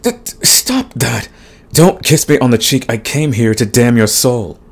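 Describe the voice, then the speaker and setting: A man playing a big, grumpy but soft himbo demon in a romantic comedy audio roleplay, husky and stammering in protest. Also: mid-range voice, very animated, unhurried, heavily acted. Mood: flustered, indignant. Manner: grumbling, sighing, stammering protests. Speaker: voice actor.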